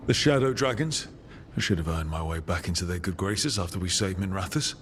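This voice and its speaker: An actor playing a steady, friendly male team leader in a fantasy video game. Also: deep voice, fairly quick, slight background noise, lightly acted. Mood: serious.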